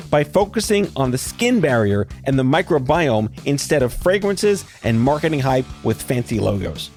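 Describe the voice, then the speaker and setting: A middle-aged man hosting a podcast interview, talking in a blunt, wry and casually profane way. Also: on the high side. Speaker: podcast host.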